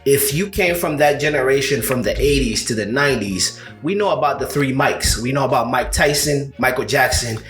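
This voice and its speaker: A man talks fight history in a loose, rambling way, circling back with "you know" and restarting his sentences. His voice sits at an ordinary middle pitch. The room around him echoes noticeably. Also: normal speed, hardly any pauses, fairly bright tone, soft background music. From Uganda. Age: 30s. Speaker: co-host of a combat sports show. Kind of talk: casual podcast chat.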